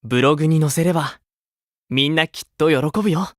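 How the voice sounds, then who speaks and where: A man playing a gentle, sentimental grown male idol in a Japanese mobile game, affectionate and excitable in manner. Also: on the high side, brisk, dry recording, clean studio sound, close to an everyday voice. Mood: cheerful.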